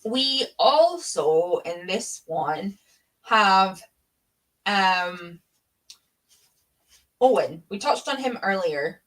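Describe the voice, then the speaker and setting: A woman's voice: medium pitch, very animated, very slow, long pauses, low-quality recording. A woman with a Scottish accent chatting casually and fannishly about books as host of a live readalong, with the odd swear word. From Scotland. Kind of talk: casual book chat.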